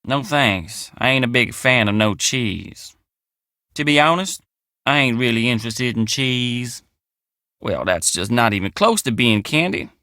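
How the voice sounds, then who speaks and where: An actor playing a folksy, earnest, excitable young country handyman in a video game, with an American Southern accent and a colloquial drawl. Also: medium pitch, dry recording, studio quality. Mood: wary, puzzled. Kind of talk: video game character dialogue.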